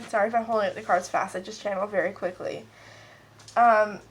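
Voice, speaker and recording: A woman's voice: a little high, a little hiss or hum, low-quality recording. Stuffed-up woman reading tarot cards. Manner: casual, rambling, intuitive. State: stuffed-up nose, sniffling. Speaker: tarot card reader. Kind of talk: pick-a-card tarot reading.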